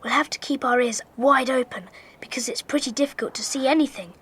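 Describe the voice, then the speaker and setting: A woman playing a brisk, earnest schoolboy who leads a band of child detectives in a children's audio drama. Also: sits high, a bit bright, slightly rough, heavily acted. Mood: hushed, commanding. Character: Peter, bossy schoolboy leader of child detectives.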